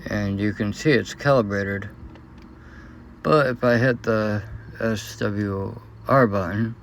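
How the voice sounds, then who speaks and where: A man talking in a casual, unscripted vlog, troubleshooting in a hesitant and unsure, halting way. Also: lowish voice, slight background noise. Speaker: vlogger.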